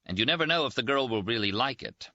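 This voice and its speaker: A man voicing a brash, teasing young Italian army surgeon in an audiobook, quick and boastful. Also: mid-range voice, expressive, bright, forceful tone, dry recording, muffled recording, voice pushed up. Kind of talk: audiobook character voice.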